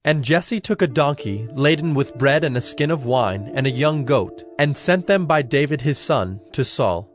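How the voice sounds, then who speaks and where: A man narrating a scripted Bible story in a formal, measured storytelling manner. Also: mid-range voice, animated. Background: music.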